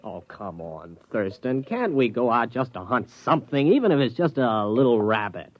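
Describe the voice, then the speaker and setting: A man's voice: mid-range voice, lively intonation, normal speed, dry recording, natural voice. A man plays an eager American outdoorsman in an old radio play. His folksy, coaxing delivery swings up and down a lot, and the muffled 1950s recording is dry and close. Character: eager, good-natured outdoorsman, friendly but easily swayed. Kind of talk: 1950s radio drama acting.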